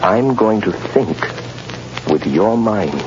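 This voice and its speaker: A man playing a mysterious, commanding vigilante in an old-time radio drama, with deliberate, dramatic delivery. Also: on the low side, lively intonation. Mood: urgent, mysterious. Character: mysterious commanding male mind-reading vigilante, urgent and intense. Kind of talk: 1930s-40s radio acting. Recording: muffled, noisy old radio broadcast recording.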